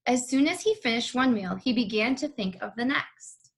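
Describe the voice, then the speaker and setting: A woman shares a children's read-aloud as co-reader. Her voice is fairly high, and she moves between storybook narration and casual chat. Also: normal speed.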